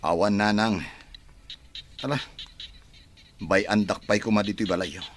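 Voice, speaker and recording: Man acting deep-voiced troubled Ilocano son. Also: steady tone, a little slow, slight background noise, close to an everyday voice. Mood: sullen, irritated. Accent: Filipino, Ilocano. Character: troubled, moody grown son who wants solitude. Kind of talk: radio drama acting.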